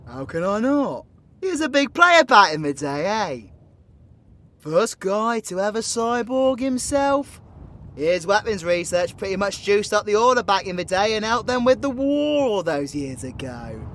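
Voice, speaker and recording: A man's voice: high-pitched, sing-song, unhurried, a bit bright, exaggerated voice. A British man playing a cocky, foul-mouthed supervillain in an audio roleplay, mocking and slangy. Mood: mocking taunts.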